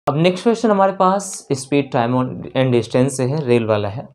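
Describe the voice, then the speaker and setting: A man's voice: medium pitch, expressive, brisk, a little mellow. A man with an Indian accent teaching a lesson, explaining worked problems step by step, often repeating key phrases and checking in with learners. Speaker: maths teacher for exam preparation.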